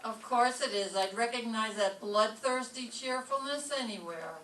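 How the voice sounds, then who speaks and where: A woman's voice: a little high, moderate pace, a bit bright, lightly acted. A woman playing a wry, sarcastic schoolteacher in a radio comedy. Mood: wry suspicion. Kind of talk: old-time radio comedy acting. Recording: echoey room, distant mic, faint noise.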